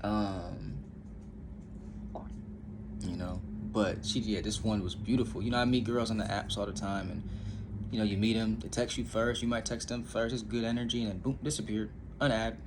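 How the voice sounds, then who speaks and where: A young man from Indiana rambles to his camera about his life, his low voice stumbling through fillers and restarts. He sounds candid and unpolished, like a casual vlog. A faint hum of room ambience sits behind him. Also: steady tone, normal speed. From Indiana, USA. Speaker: vlogger.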